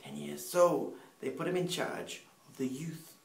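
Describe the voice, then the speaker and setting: Man, 30s, English accent tinged by Peruvian Spanish, measured testimony, echoey room. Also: on the high side, vocal fry. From Peru. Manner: unhurried, pausing, with restarts. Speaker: speaker sharing family testimony. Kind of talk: personal faith storytelling. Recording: roomy sound from a distant mic.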